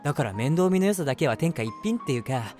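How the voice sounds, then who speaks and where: A man's voice: on the high side, sing-song, fast talker, a little mellow, faint music behind. A man voicing an eager, easily flustered young man in love, chatty and self-deprecating, in a romantic audio drama. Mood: giddy, eager. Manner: chatty, self-deprecating, earnest, hesitant asides. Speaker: voice actor.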